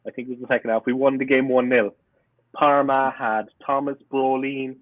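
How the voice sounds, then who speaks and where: A man's voice: medium pitch, level tone, moderate pace, no echo. A man in his forties from Cork with a strong Cork Irish accent, a podcast guest telling stories in an earnest way and repeating himself for emphasis. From Cork, Ireland. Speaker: podcast guest, fan channel owner. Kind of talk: casual interview storytelling. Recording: tinny phone-like remote line.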